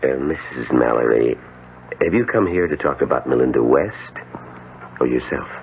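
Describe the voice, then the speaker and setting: An actor playing a calm, deliberate and polite doctor in a 1970s radio drama. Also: male voice, a bass voice. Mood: reluctant, firm. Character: calm, measured male doctor offering psychedelic suggestion cures. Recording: tinny, band-limited old radio broadcast, faint hiss.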